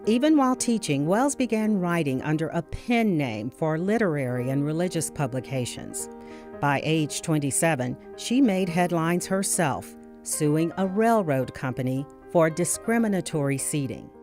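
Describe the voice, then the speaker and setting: A woman reading scripted documentary narration in a measured, carefully enunciated way. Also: sits low, animated, a little mellow. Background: music.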